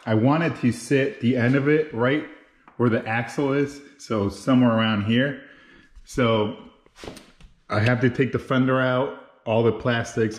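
A man in his thirties talks casually to his viewers as he works, explaining each step informally. His voice has an ordinary mid-low male pitch and rings with the roomy echo of a home garage, picked up on a handheld camera. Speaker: motorcycle builder and video host. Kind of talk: casual how-to vlog narration while working. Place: home garage with hard floor. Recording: roomy, echoing garage sound.

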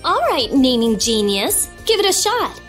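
An assertive young woman in a fantasy game. Her fairly high voice swings widely and briskly, over light music. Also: sing-song, fairly bright tone, heavily acted. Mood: brisk, impatient. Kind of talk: video game voice acting.

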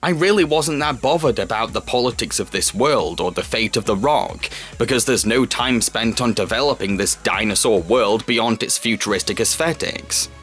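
A male reviewer delivers scripted film commentary as the host of a show. His voice sits at a middle pitch, with music playing softly underneath.

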